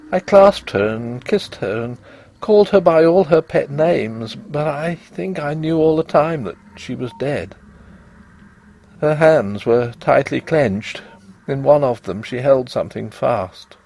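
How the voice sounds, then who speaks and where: Casting: male storyteller for gothic fiction, theatrical delivery, mid-range husky voice with highly animated pitch. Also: no echo. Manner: expressive, theatrical. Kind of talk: dramatic first-person literary ghost story narration.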